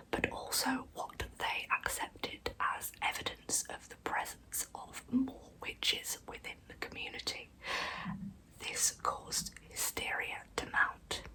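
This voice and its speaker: A woman narrating true crime as ASMR in a breathy, hushed whisper, telling the story in measured phrases. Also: on the high side, poor audio. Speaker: ASMR storyteller, channel host.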